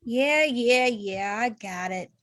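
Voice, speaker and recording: A woman's voice: on the high side, animated, slow pace, fairly bright tone. A woman playing a flighty, chatty, unhinged young clown villainess in an amateur table read. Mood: offhand.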